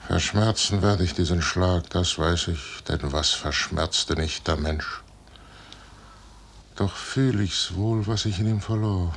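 An older man playing a proud, fatalistic general in classical radio drama, speaking in a grave, measured, declamatory way. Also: sits low, vocal fry, a bit bright, a little hiss or hum, full character voice. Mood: mournful. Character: proud, weary, fatalistic aging male general and duke.

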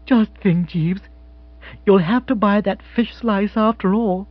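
A man voicing an impulsive, affectionate, excitable young woman in a comic audiobook. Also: high-pitched, measured pace, dry recording, highs cut off, pitched above the performer's own voice. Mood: playful.

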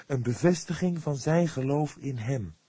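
A man voicing an earnest, devout young woman in an audiobook, speaking patiently and with solemn emphasis, quick to defend herself. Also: medium pitch, dark tone, slightly rough, dry recording, pitched above the performer's own voice.

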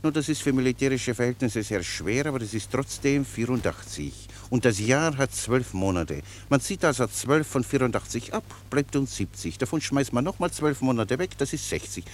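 Rambling, animated soldier played by a man. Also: mid-range voice, moderate pace, slight background noise, close to an everyday voice. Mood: chatty, unruffled. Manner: chatty, rambling, pedantically explanatory. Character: cheerful, long-winded, naively obedient common soldier. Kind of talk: German radio play acting.

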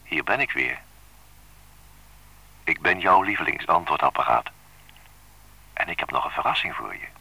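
An actor playing a possessive, teasing lover who speaks in measured, intimate phrases in a radio drama. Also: male voice, a bass voice, expressive, vocal fry, pushed and bright, slightly rough, heavily acted. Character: possessive, teasing adult male lover on an answering machine.

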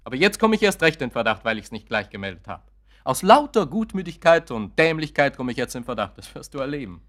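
A young man in a radio drama, bitter and cornered. His mid-range voice moves widely in pitch. He is short and impatient, with a sarcastic edge. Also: big pitch swings, fairly quick, a bit bright. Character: bitter, curt, cornered grown son. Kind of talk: German radio play acting.

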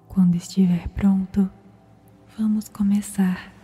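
Breathy, whispering Brazilian woman guiding meditation. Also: mid-range voice, little pitch movement. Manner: slow, soothing, gentle. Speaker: guided meditation host. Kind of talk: whispered sleep meditation, ASMR-like. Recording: music behind the voice. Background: meditation music.

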